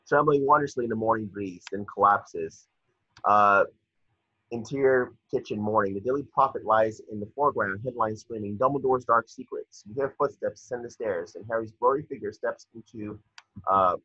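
A man with a husky voice reading screen directions as narrator at a table read over a video call. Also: on the low side, bright, forceful tone, no echo. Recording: muffled lo-fi video call audio.

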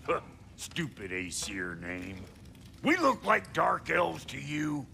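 An actor playing a crude, cranky, foul-mouthed dwarf blacksmith with a gruff, folksy, blustering way of talking. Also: male voice, on the high side, huge pitch swings, takes it slowly, pushed and bright, soft background music, heavily acted. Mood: scornful.

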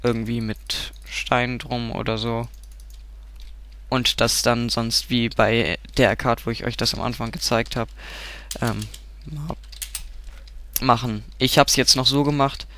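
A man's voice: mid-range voice, steady tone, takes it slowly, pauses often, fairly bright tone, slight background noise. A man with a stuffy cold nose, giving a casual game tutorial, rambling and often correcting himself. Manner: rambling, self-correcting, frequent filler sounds.

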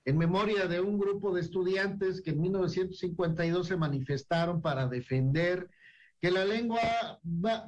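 A man hosting a radio interview, speaking in a measured, reflective way with some repeated words. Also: sits high, low-quality recording. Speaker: radio talk show host.

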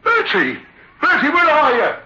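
An actor playing a rattled, sceptical theatre manager in a radio drama, emphatic and repeating himself in agitation. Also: male voice, extremely high pitch, huge pitch swings, normal speed, bright, forceful tone, slight background noise, heavily acted. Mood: agitated, sceptical. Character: rattled, sceptical theatre manager telling his uncanny tale. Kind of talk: radio drama acting and first-person storytelling. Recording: muffled old radio recording with music.

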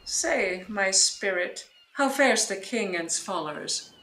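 A woman plays a commanding sorceress in a Shakespearean audio drama. Her bright, forceful voice moves slowly and grandly, in a roomy echo with faint noise. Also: mid-range voice, lively intonation. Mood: resolute, reflective. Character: commanding, vengeful yet merciful female sorceress. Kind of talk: Shakespearean radio play acting.

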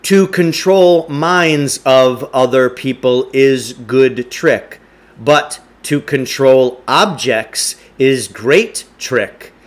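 A man's voice: mid-range voice, very slow. A middle-aged man reads a story aloud in a home living room. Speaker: teacher reading aloud. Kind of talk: audiobook-style story reading. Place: indoors, home living room with wood stove.

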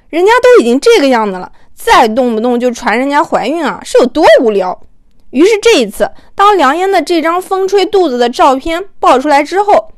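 A woman's voice: high-pitched, dry recording, clean studio sound. A woman narrating an audiobook in a chatty, gossipy, playful storytelling style.

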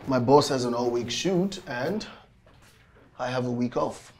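A young man with a husky voice, acting an earnest, frustrated lover in a film drama. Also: medium pitch, expressive, measured pace, darkish tone, slight background noise. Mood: guarded.

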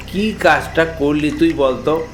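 Chiding, cautious elder brother for a ghost cartoon, played by a man, higher-set voice, rustic manner, music clearly underneath. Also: takes it slowly. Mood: worried scolding. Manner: bossy, worried, chiding rural speech. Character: cautious, scolding older brother, village night fisherman. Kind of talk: animated horror story character dialogue.

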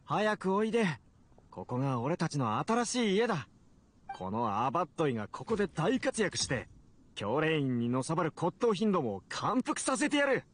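An obsessive young male scientist from an anime game. His voice is slightly high and a little husky, swinging animatedly as he speaks with grandiose ambition. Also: sing-song, normal speed. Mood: exasperated, calling out. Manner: theatrical, grandiose.

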